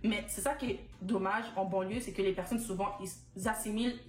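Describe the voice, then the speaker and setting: A woman's voice: mid-range voice, steady tone, fairly quick. A young woman hosts a casual panel, earnestly asking questions and sharing her own experience. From Quebec. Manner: earnest, asks questions, recounts personal experience. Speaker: talk show host. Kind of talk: moderating a casual discussion. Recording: echoey room, soft music.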